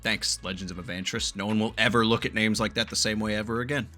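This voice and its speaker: Casting: male streamer, animated and profane, bright low-pitched voice over game music, casual reaction commentary. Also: fast talker. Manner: animated, profane, reads game dialogue aloud.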